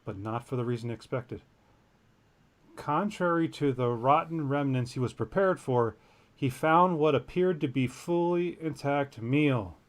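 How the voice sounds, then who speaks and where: A man's voice: mid-range voice, measured pace. A man co-hosting a podcast, reading a news story aloud and breaking off into jokey, self-deprecating tangents. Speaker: podcast co-host.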